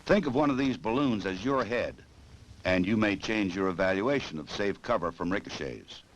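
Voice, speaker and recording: An American man narrating a 1960s instructional film in a formal, measured, authoritative way, on an old film soundtrack. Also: fairly low pitch, animated, highs cut off.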